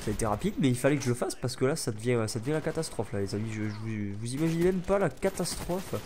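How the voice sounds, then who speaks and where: A man's voice: mid-range voice, lively intonation, fast, a little mellow, slight background noise. A young man from France with a stuffy head cold, rambling chattily over his gameplay with many fillers. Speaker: gaming YouTuber. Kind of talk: gameplay commentary.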